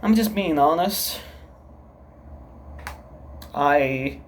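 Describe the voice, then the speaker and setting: A man in his thirties from Brazil, a native Portuguese speaker with a Brazilian accent, talking to camera in a confessional solo vlog, halting and heavy, often repeating himself. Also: on the high side, lively intonation, slight background noise. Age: 30s. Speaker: channel creator. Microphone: camera recording himself.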